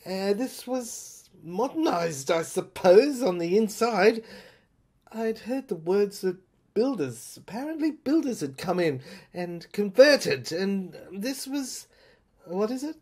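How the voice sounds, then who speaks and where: Audio play lead: haunted, agitated storyteller played by a woman, slow delivery, mid-pitched, halting and stammering over repeated words. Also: lively intonation, dark tone, husky. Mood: uneasy, remembering. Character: haunted, agitated narrator recalling an uncanny asylum. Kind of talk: dramatic monologue in an audio play.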